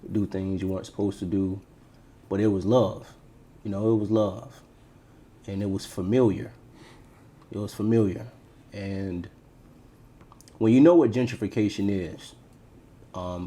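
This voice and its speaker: Male podcast co-host from Florida, low warm dark voice, relaxed storyteller who repeats for emphasis. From Tampa, Florida, United States. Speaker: podcast co-host being interviewed. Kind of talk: casual personal storytelling.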